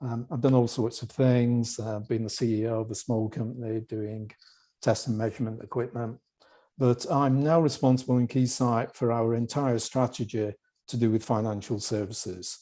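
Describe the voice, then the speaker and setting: A man from the UK with a British accent, a company executive answering interview questions in a relaxed, chatty way over a video call, with joking asides. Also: mid-range voice, normal speed. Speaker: guest executive.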